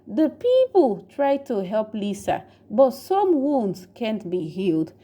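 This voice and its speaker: A woman's voice: on the high side, big pitch swings, slow, mellow. A woman narrating a scripted story in a dramatic storytelling style. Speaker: storyteller, channel host.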